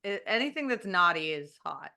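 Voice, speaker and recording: A woman's voice: lowish voice, sing-song, normal speed, gravelly, pushed and bright. A woman in her thirties co-hosting a casual book club chat, joking and laughing often. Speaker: book club podcast co-host.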